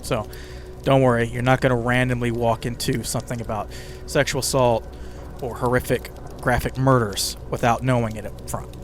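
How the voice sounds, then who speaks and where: A man's voice: medium pitch, level tone, normal speed. A man hosting a podcast with conversational scripted narration, slipping in casual asides to the listener. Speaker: podcast host and writer. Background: ambient music bed with crackling fire sounds.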